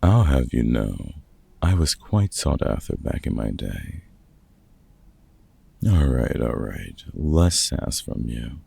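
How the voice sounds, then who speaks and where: Casting: gruff older vampire hunter played by a man, fatherly and wry, rock-bottom pitch, heavily husky, for comfort roleplay audio. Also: sing-song, takes it slowly, dark tone, dry recording, exaggerated voice. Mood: amused, teasing. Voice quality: very gravelly, husky. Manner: dry, teasing, hesitant with unfamiliar slang. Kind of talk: ASMR roleplay audio drama, one-sided conversation.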